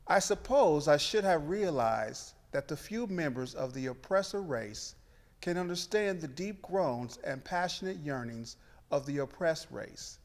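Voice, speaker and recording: A man, a church member, reading quotations aloud in a solemn, scripted way. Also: fairly high pitch. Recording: long hall reverb.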